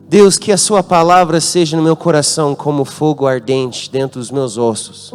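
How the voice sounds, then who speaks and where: American man preaching, light music behind. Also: on the high side. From United States. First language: English. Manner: interacts with the congregation, asks them to call out answers. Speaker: guest pastor. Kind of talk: sermon. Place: church hall.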